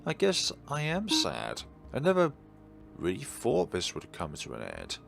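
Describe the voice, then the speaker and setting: A man's voice: mid-range voice, wildly swooping pitch, unhurried, vocal fry, over music. A man hosting a let's play, reading aloud and musing in a rambling, digressive way. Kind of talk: reading a visual novel aloud with rambling off-script commentary.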